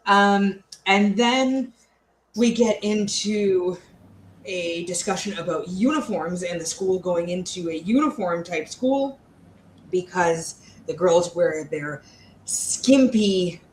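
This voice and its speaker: A woman's voice: fairly low pitch, expressive, takes it slowly. A woman in her thirties chatting casually and bluntly as she co-hosts a book discussion, with a sardonic streak. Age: 30s. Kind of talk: casual chat, book commentary. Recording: roomy echo, faint background noise.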